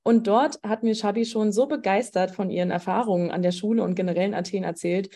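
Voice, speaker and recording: A young woman hosts a podcast with a relaxed, mellow tone. She interviews a student in a friendly, conversational way, with an occasional filler. Her pitch sits in a middle range. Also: fast talker. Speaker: podcast host from a university international office. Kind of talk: casual interview chat.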